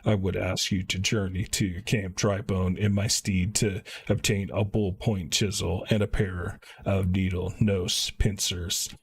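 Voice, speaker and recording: A man's voice: low voice, creaky, slight background noise. A man voicing a shy, polite tinkerer from a fantasy game, formal and a little hesitant.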